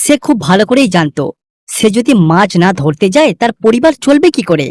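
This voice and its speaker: A woman's voice: on the high side, big pitch swings, a little fast, a little mellow, a little hiss or hum. A woman narrating a scripted cartoon fairy tale as the storyteller.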